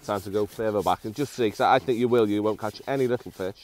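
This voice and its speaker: A middle-aged man, a fishing coach chatting by a lake and steering the talk with quick questions. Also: fairly low pitch, a little mellow. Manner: quick, agreeable, asks leading questions. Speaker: fishery coach. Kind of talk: casual chat while fishing. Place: outdoors at a lakeside fishery.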